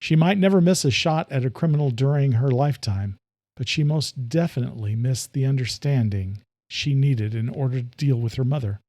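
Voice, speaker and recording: A man's voice: medium pitch, normal speed, a little mellow, dry recording. Dry, close male thriller narrator. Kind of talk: scripted audio fiction narration, horror thriller.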